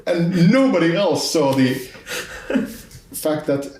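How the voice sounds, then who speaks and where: A man with a Finnish accent hosting a podcast in casual conversation, speaking haltingly with many restarts. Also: on the high side, expressive, darkish tone, slight background noise. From Finland. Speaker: podcast host. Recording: roomy sound, distant from mic.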